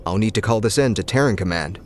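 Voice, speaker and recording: An actor playing a dutiful, suspicious infantry captain in a video game, with clipped, gruffly commanding military speech. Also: male voice, on the low side, expressive, fast talker, a little hiss or hum, close to an everyday voice. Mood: stern, suspicious. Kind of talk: video game dramatic acting.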